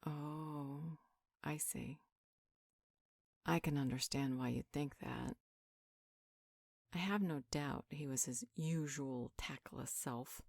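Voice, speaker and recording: A protective, motherly magical healer played by a woman in a roleplay audio drama. Her voice sits low for a woman and is recorded very dry. She talks in an informal, affectionate way, soothing whoever she is caring for. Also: slow, gravelly, long pauses, darkish tone, no room sound, exaggerated voice. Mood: reassuring, reasoning.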